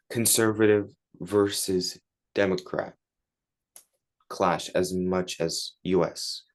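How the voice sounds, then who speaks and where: A man's voice: on the low side, darkish tone. A teenage man from Turkey, answering interview questions in casual, chatty English. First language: Turkish. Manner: chatty, many likes and you-knows. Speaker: guest.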